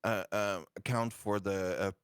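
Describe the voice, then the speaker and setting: A man's voice: lowish voice, fairly even intonation, measured pace, fairly bright tone, clean tone, no room sound, clean studio sound. A man playing in a tabletop roleplaying game, asking about the rules in a hesitant way. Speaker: player. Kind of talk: casual play chat.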